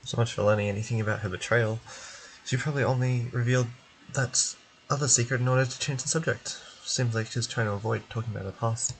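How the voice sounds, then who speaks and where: Quick, creaky-voiced male game streamer. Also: mid-range voice, steady tone, rough recording. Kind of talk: reading game text aloud for stream viewers.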